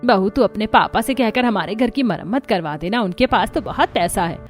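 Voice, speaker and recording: A woman voicing a scolding, scheming middle-aged mother-in-law in an animated moral story, with a nagging, melodramatic delivery. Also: mid-range voice, normal speed, faint music behind, lightly acted. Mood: pleading.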